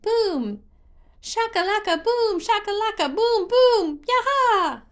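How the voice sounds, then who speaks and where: A woman voicing an excitable, bubbly, childlike tree spirit who exclaims in a sing-song way in a fantasy story reading. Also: extremely high pitch, slow pace, voice pushed up, heavily acted. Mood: gleeful.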